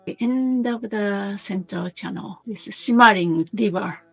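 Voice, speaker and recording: A woman teaching a guided meditation in a gentle, unhurried manner, pausing between short phrases. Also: medium pitch, vocal fry, breathy edge.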